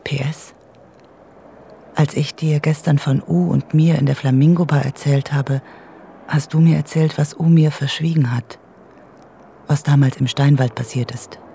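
An actress playing an intense, resolute woman writer in a radio drama, reading out her letter in a deliberate half-whisper. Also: low voice, steady tone, vocal fry, mellow, a little hiss or hum. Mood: confiding.

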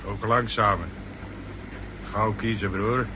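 A man's voice: fairly low pitch, steady tone, takes it slowly. A man playing a menacing henchman who mocks in colloquial, darkly joking talk in a 1960s radio drama. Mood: menacing, mocking. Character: sardonic, menacing male henchman. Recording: faintly noisy 1960s radio recording.

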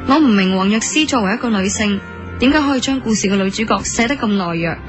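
A woman's voice: mid-range voice, animated, quick pace, close to an everyday voice. A woman with a Hong Kong Cantonese accent acting a prickly, proud young café woman who also narrates her story in a radio drama. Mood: troubled, reflective. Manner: earnest, indignant, introspective. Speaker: lead actress and narrator. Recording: studio drama with music underneath.

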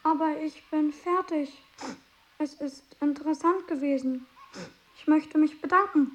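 A woman voicing an eight-year-old boy genius who answers in a calm, plain, matter-of-fact way in a radio play. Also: high voice, a little slow. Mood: polite, plain.

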